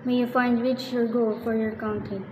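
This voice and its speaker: A courteous, formal radio drama character voiced by a woman. The fairly high voice sounds far from the mic in a reverberant, muffled hall. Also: level tone, normal speed, very noisy, lightly acted. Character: courteous, formal host and meeting member. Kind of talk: student radio drama acting. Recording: distant, muffled, echoey hall.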